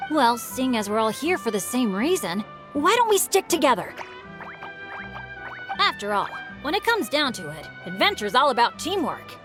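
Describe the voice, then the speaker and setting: Earnest young game adventurer, eager, high-pitched, very lively, music behind. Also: female voice, normal speed. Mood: friendly, eager. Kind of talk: animated game voice acting.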